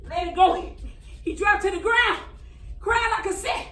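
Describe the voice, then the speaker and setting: A woman performing a dramatic monologue as a frightened, defiant young country girl, telling her story haltingly and with great emotion. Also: pushed and bright, heavily acted. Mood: frantic. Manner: halting, repeating words, highly emotional storytelling. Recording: echoey room, distant mic, faint noise.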